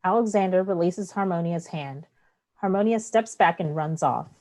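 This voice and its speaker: A woman with a fairly low voice narrates a play. She reads out stage directions as scripted narration. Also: normal speed, a little mellow.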